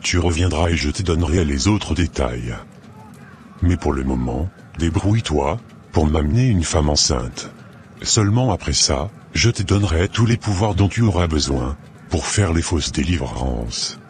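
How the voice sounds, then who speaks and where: A man voicing a sinister, commanding older marabout sorcerer in a French cartoon, speaking in a measured, solemn way. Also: a bass voice, expressive, darkish tone, slight background noise. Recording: music behind the voice.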